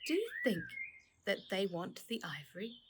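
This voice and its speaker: Woman reading as a concerned mum, gentle and calm, soft rasp, children's story. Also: mid-range voice, moderate pace. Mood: explaining. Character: caring, calm mother explaining and comforting.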